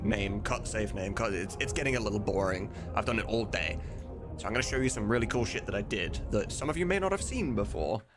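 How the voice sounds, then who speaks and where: A man chatting casually on a live stream, rambling and informal with some swearing. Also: fairly low pitch. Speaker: live streamer and game modder.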